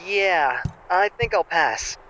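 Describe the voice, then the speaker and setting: High bright male voice, actor as flippant young exchange student, excitable, evasive, audio drama call, noisy line. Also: takes it slowly, heavily acted. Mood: awkward, evasive. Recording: noisy phone-call style recording.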